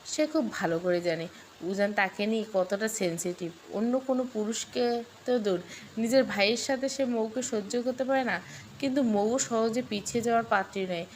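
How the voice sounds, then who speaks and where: A woman's voice: fairly high pitch, normal speed, slight background noise. A woman reading a scripted story with dramatic delivery. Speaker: story narrator.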